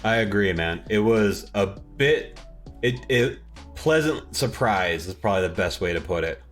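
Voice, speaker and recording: A man's voice: lowish voice, expressive, moderate pace, a bit bright, soft background music. A young man co-hosting a casual podcast, rambling and restarting his sentences as he searches for words. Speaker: podcast co-host. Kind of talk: casual chat.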